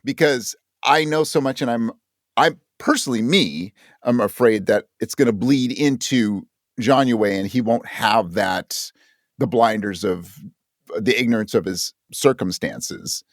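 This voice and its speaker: A man with an average-pitched voice talks in a very dry recording with no room sound. He is a conversational interview guest, thoughtful and inclined to search for the right word and correct himself as he goes. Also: a little slow, no room sound. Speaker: interview guest, audio drama writer.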